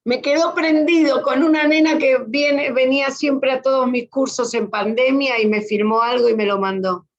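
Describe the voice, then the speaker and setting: A woman's voice: sits high, fairly even intonation, normal speed, hardly any pauses. A woman with an Argentine accent teaching a class spontaneously, prompting people with questions. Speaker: course teacher. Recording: dull online class audio.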